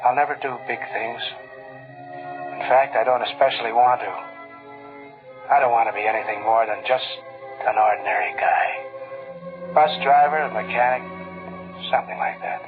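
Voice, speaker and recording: An actor playing a discouraged, humble young American husband and father in a halting 1940s radio drama style. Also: medium pitch, close to an everyday voice. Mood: sorrowful, resigned. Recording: muffled old radio broadcast, music underneath.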